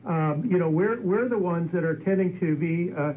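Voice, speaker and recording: A man speaking as a studio guest doctor, halting with many fillers. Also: high-pitched. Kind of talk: adding to a radio discussion. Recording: lo-fi radio broadcast with faint noise.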